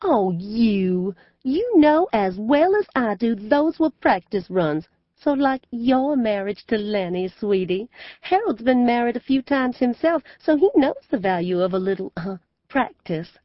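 A woman's voice: on the high side, animated, unhurried, dry recording, highs cut off, voice pushed up. A woman voicing a flamboyant older Southern fortune-teller mother who drawls, wheedles and plays to the crowd. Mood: scoffing.

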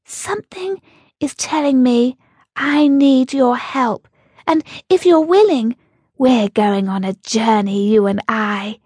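A woman voicing a wise, commanding female rabbit who leads her clan, speaking in a stately, measured and slightly self-important way. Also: a little high, dark tone, slightly rough, no echo, voice pushed up. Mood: solemn.

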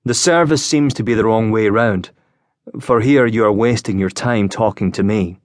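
A male narrator plays a frail young gentleman officer from a novel. He is wistful, courteous and gravely earnest. The sound is close and dry. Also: on the low side, moderate pace, no room sound, lightly acted. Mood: courteous, wry.

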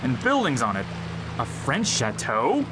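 An actor playing a stern, upright space marshal in a comic sci-fi radio drama, firm and accusing. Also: male voice, medium pitch, huge pitch swings, measured pace, background noise. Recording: music under the voice.

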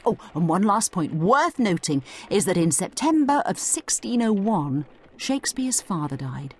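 Animated woman narrating a study guide. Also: medium pitch, unhurried, slightly rough. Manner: conversational, explanatory storyteller addressing the listener directly. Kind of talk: scripted dramatised educational narration.

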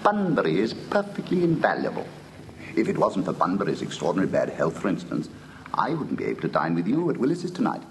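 An actor playing a flippant, witty young English gentleman of leisure, with upper-class accent and arch, precise diction in a classic stage comedy. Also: deep voice, wildly swooping pitch, fast, heavily acted. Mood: gleeful, smug. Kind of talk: classic stage comedy audio drama, old-fashioned acting. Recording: old recording with faint hiss.

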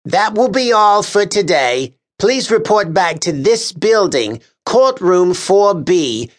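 A man's voice: sits high, big pitch swings, takes it slowly, pitched above the performer's own voice, exaggerated voice. A male narrator voicing a vain, officious, short-tempered man who speaks in an exasperated, condescending way. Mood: curt. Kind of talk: audiobook character voice.

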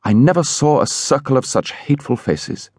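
A man playing an indignant English gentleman who recounts a horrible incident in a vivid, emphatic way, as audiobook storytelling. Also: mid-range voice, lively intonation, normal speed, slightly rough, no room sound, studio quality, pitched above the performer's own voice.

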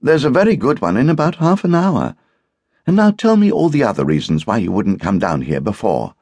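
A man voicing a brisk, opinionated English wife and hostess in an audiobook, with an arch, emphatic manner. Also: medium pitch, big pitch swings, darkish tone, slightly rough, no echo, pitched above the performer's own voice.

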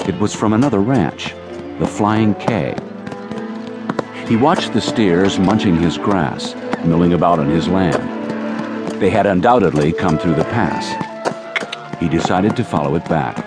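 A man's voice: sits low, unhurried, pauses often. A man reading scripted narration for a dramatized Western audiobook. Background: music.

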